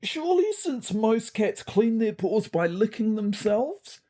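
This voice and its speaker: Lady putting on a doubtful kiwi tomcat, soft dark tone, really up and down, querying everything politely. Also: a little high, big pitch swings, a little slow, pitched above the performer's own voice. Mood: skeptical. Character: skeptical male cat asking obvious, reasonable questions.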